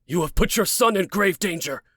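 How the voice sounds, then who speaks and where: A man's voice: high-pitched, lively intonation, normal speed, fairly bright tone, no echo, voice pushed up. A man voicing an angry, protective, brooding man who scolds with heated intensity, as in a dramatic audiobook. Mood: furious, incredulous.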